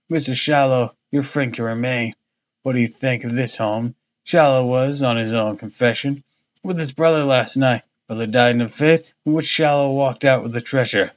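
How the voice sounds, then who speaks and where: A man voicing a stout, pompous police inspector with a husky, wheezing tone, blustering and repeating himself. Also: medium pitch, dull audio, natural voice.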